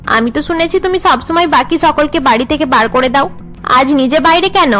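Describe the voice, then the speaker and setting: A woman's voice: sits high, fairly quick, a bit bright, faint music behind. A woman voicing a blunt older auntie in a cartoon, chiding and moralizing with emphatic delivery. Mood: taunting, scolding. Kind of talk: melodramatic cartoon dialogue.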